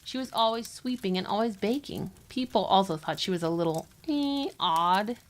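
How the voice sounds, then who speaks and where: A woman playing a mother who gently tells a legend to children in an audio drama. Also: medium pitch, normal speed. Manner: gentle, explanatory storyteller.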